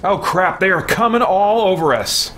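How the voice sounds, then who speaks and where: A man's voice: high-pitched, animated, moderate pace, a bit bright. A man giving casual live commentary as he plays a game, muttering reactions and mild exclamations. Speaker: gaming streamer and host. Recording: game gunfire and noise behind voice. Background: video game ambience and gunfire.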